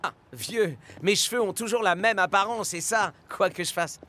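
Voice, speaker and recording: A man's voice: high voice, normal speed, bright, forceful tone. A man playing an upbeat young footballer in a French cartoon dub, casual and slangy. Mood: easygoing. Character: upbeat, laid-back young star footballer. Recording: music behind the voice.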